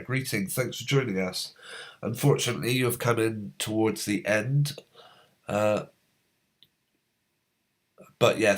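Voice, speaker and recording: A man hosting a live stream, reading out viewers' comments in a halting, rambling way, his voice going hoarse as a cold comes on. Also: on the low side. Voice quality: slightly hoarse. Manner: rambling, halting, many fillers.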